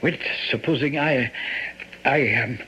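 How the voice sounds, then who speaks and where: A man's voice: mid-range voice, slow pace, bright, forceful tone. An actor playing an evasive, anxious married scientist in a radio mystery, hesitant and pleading in his delivery. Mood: pleading, earnest. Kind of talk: old-time radio mystery acting. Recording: muffled, noisy old radio recording.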